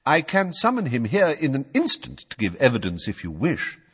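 Composed old wizard, a man reading, measured and polite, slightly husky, long reverb. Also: medium pitch, huge pitch swings, voice pushed up. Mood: calm. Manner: measured, courteous, unhurried. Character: calm, courteous old male wizard, coolly polite.

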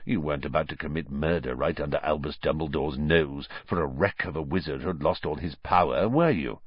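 A man's voice: extremely low pitch, breathy edge, no room sound, highs cut off, pitched below the performer's own voice. A man reading an audiobook story, voicing a bitter, vengeful male fugitive with a growling, hissing, contemptuous delivery. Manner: slow, taunting, contemptuous.

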